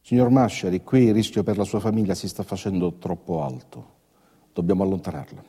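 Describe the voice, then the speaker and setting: An actor playing a formal, reassuring official in a stage drama, persuasive in manner, with a husky voice. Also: male voice, low voice, fairly quick, mellow. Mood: grave, reassuring. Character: reassuring official arranging a family's witness protection. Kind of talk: theatrical monologue drama acting. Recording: reverberant hall sound.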